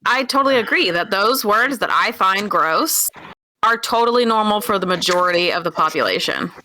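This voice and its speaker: A woman's voice: medium pitch, lively intonation, normal speed, sharp tone, slight background noise, poor audio. A woman on a casual podcast who gives her opinions bluntly and matter-of-factly. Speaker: podcast co-host. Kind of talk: casual chat.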